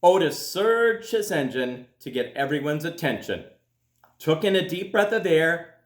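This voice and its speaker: A man, a school principal, reading a children's story aloud expressively, with dramatic pauses and drawn-out words. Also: fairly high pitch, lively intonation. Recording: roomy echo.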